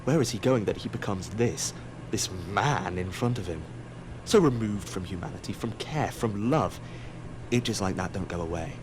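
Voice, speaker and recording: An actor playing a wry, ominous narrator of a sci-fi audio drama, dramatic and sardonic. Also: male voice, lowish voice, animated, normal speed, noisy background, close to an everyday voice. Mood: tense, ominous. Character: wry, ominous, all-seeing storyteller of a sci-fi tale.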